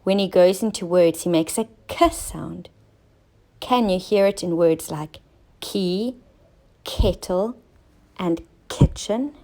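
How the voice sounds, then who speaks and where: A woman teacher narrating a scripted children's story, expressive and clearly enunciated for young listeners. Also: medium pitch. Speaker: teacher reading stories to children.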